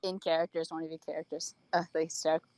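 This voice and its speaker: A woman's voice: low-pitched, flat delivery, rapid-fire, darkish tone. A young adult woman co-hosting a podcast in casual chat, teasing and joking with a sardonic streak. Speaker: podcast co-host.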